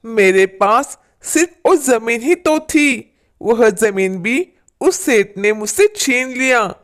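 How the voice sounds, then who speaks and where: Poor humble farmer voiced by a woman: slow, sorrowful, pleading delivery for a storybook moral tale. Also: fairly high pitch, lively intonation. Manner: pleading, lamenting. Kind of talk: storybook character acting.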